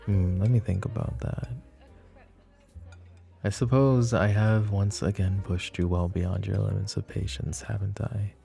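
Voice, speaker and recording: A man playing a gravelly, teasing mafia boss. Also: on the low side, steady tone, measured pace, faint music behind. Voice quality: gravelly, teasing, soft-spoken. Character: smug flirtatious male mafia boss. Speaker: voice actor in roleplay audio. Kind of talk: ASMR roleplay acting.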